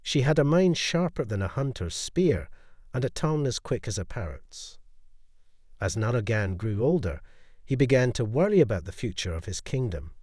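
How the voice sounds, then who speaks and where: A male storyteller reads a bedtime folktale with a lively, swooping melody. His tone is mellow and soft-edged, and his delivery is expressive and dramatic. The sound is dry and close. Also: mid-range voice, normal speed, no room sound. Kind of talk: scripted bedtime folktale narration.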